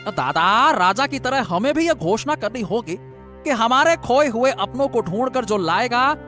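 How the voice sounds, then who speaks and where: An actor voices a wily male villager in a storybook drama, with very lively, swinging pitch. He is theatrical, fawning and falsely humble, repeating himself over soft music. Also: a little slow. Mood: agitated. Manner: obsequious, theatrical, repetitive. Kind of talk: melodramatic storybook audio drama.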